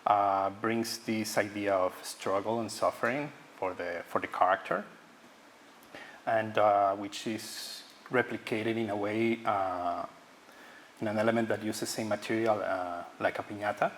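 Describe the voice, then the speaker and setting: Halting Ecuadorian-born man, low voice. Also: steady tone, slight background noise. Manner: halting, searching for words, many fillers. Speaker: guest artist. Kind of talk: public artist talk. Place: auditorium.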